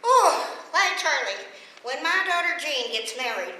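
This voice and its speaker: Woman acting a high, gushing small-town housewife, echoey stage. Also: very animated, unhurried, pushed and bright, heavily acted. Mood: chatty, fussy. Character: chatty, fussy small-town housewife and mother. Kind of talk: 1930s-40s radio serial commercial acting, staged. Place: stage of a live radio play performance. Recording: very echoey hall, far from mic, faint noise.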